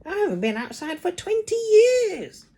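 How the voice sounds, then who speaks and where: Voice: extremely high pitch, huge pitch swings, dark tone, pitched above the performer's own voice, heavily acted. A screechy wild old grandmother. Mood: wild, demanding. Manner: shrieking, drawn-out cries.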